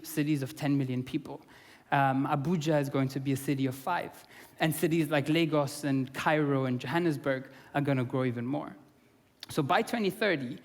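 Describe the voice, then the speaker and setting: A young man from Austria giving an earnest conference talk, with many fillers and restarts. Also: a little high. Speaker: conference speaker. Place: conference hall. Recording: long reverb of a conference hall.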